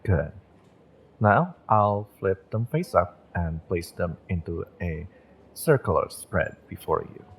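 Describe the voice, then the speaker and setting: A man playing a gentle, encouraging magick shop owner and tarot reader in an intimate audio roleplay, speaking in a measured, mystical, reassuring way. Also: sits low, lively intonation, vocal fry, breathy edge, heavily acted. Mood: calm, guiding.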